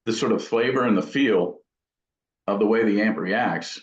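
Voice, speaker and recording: A man with a fairly low voice speaks in a casual interview as a craftsman who builds gear. He is thoughtful and halting, working through technical detail in an informal way. The sound is dull and lo-fi, with a strong room echo. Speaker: amp builder and company founder being interviewed.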